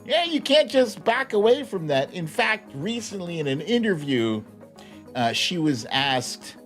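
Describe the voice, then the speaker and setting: Male livestream host, wry and informal news commentary, highly animated intonation, slightly slow delivery, music underneath. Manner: informal, wry, chuckles often while talking. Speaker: live show host. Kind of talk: casual comedic political news commentary livestream.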